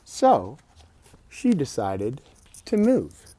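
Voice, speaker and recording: A man reads a picture book aloud to children in a mellow voice. His pitch rises and falls expressively, and he stretches words, pauses and slips in casual, chatty asides. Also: huge pitch swings, dry recording. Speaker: storybook reader.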